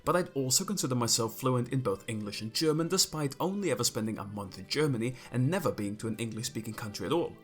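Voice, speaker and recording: A man's voice: mid-range voice, animated, quick pace, few pauses, soft background music. A young man from Croatia, a native Croatian speaker, giving a scripted and opinionated explanation to his viewers with dry asides. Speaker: video creator. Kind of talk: scripted talk to camera.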